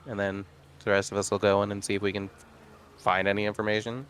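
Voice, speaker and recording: A man with a lowish voice plays a tabletop roleplaying game, talking half as himself and half as his character. He is dry and wry, with faint noise in the background. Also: flat delivery, quick pace, fairly bright tone. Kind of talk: casual in-character game talk.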